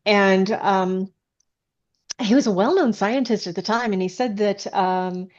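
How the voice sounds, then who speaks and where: A woman guest author chatting casually in an interview, haltingly, often thinking aloud as she explains. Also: mid-range voice, dull audio. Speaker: guest science fiction author.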